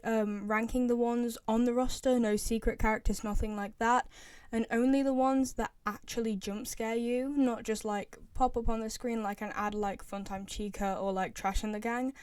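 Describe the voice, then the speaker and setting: A young woman talks through her opinions as a casual video commentator. Her voice is fairly high yet mellow, in a dry recording. She is informal and emphatic, often repeating a phrase to drive it home. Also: clear, resonant tone, no room sound. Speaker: video creator ranking game voice lines.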